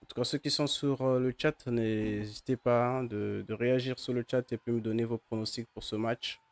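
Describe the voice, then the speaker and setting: A man with a mellow, darkish voice, worn by the flu, calls a football match live for his viewers. He hesitates often, filling gaps with drawn-out fillers and restarting his phrases. The sound is dry and close, with no room around it. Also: medium pitch, fairly even intonation, dry recording. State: has the flu, voice not at its best. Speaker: fan live-streamer commentating a match. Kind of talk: casual live match commentary with chat.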